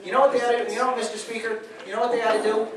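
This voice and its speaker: A man's voice: extremely high pitch, little pitch movement, racing, a crowd in the background. A man, a radio host emceeing a public meeting, telling stories in a folksy way with chuckling asides. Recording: echoey room from a distance.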